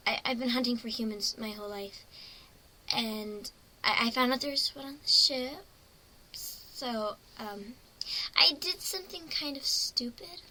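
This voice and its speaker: A woman's voice: fairly high pitch, unhurried, bright, forceful tone, rough recording. A woman voicing a timid, frightened young unicorn stowaway in a story reading, hesitant and stammering. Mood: nervous, stammering.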